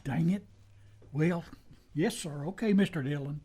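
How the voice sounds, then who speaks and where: An actor playing a loyal, excitable western deputy with an American accent and folksy, flustered talk in a radio play. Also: male voice, on the high side, measured pace, close to an everyday voice. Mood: eager, puzzled.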